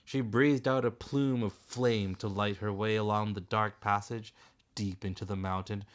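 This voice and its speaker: A man's voice: lowish voice, fairly even intonation, normal speed, noisy background. A man reading a children's fantasy storybook aloud as narrator. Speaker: father reading a bedtime story.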